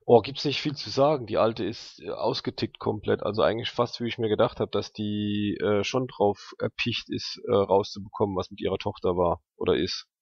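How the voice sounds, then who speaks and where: Gravelly low-voiced man, halting. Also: dry recording. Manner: halting, thinking aloud. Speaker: tabletop role-player. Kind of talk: casual slangy in-character dialogue. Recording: dry, slightly dull sound.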